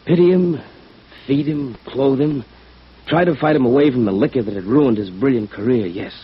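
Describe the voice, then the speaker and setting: An actor playing a proud, passionate violinist with halting, fervent delivery in 1950s radio drama style. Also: male voice, mid-range voice, breathy edge. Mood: anguished. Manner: halting, fervent, emphatic repetitions. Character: anguished, passionate male violinist, proud and sensitive. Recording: muffled, hissy vintage radio recording.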